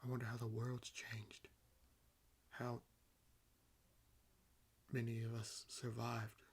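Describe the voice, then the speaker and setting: Man playing a slow, wry ancient vampire. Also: mid-range voice, monotone, breathy edge. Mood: dazed, musing. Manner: unhurried, wry, theatrical pauses. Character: ancient awakened male vampire, nonchalant, condescendingly amused. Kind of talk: roleplay audio acting, addressing the listener.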